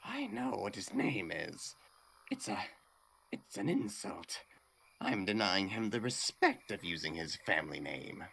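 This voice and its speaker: A man voicing a small, petty game villain with mock-polite, affected manners and a creaky voice. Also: fairly low pitch, sing-song, measured pace, pushed and bright, heavily acted. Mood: theatrical, indignant. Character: small theatrical male villain, mock-courteous, petty and sneering. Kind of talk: comedic video game voice acting.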